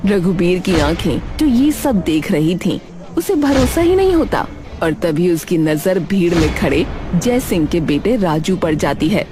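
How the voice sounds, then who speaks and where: Animated female storyteller over music. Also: fairly low pitch, measured pace. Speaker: narrator. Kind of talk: scripted story narration. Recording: clear music bed behind narration.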